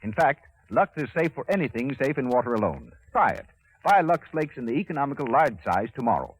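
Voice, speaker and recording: A man's voice: medium pitch, sing-song, fairly quick, slightly rough, poor audio. A man announcing on 1930s radio, reading scripted advertising with a polished, persuasive sales delivery. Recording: vintage 1930s radio recording.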